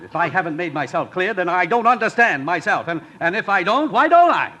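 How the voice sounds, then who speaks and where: Huffy self-important college bigwig, high bright voice, sputters all over, old radio sitcom. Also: male voice, big pitch swings, fairly quick, a little hiss or hum, heavily acted. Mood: huffy, flustered. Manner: pompous, sputtering, stammering. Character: blustering, indignant male college official, self-important and flustered. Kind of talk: 1950s radio sitcom acting.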